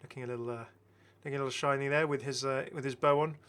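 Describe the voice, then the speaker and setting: Guy doing chatty gaming commentary, bright punchy voice, rambles on appreciatively. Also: mid-range voice, fairly even intonation, racing, smooth. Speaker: gaming video host. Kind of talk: casual enthusiastic game commentary.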